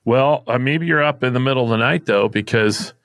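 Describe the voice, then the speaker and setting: A man's voice: mid-range voice, fairly quick, a bit bright, no echo, clean studio sound. A man co-hosting a podcast, asking questions in casual interview chat.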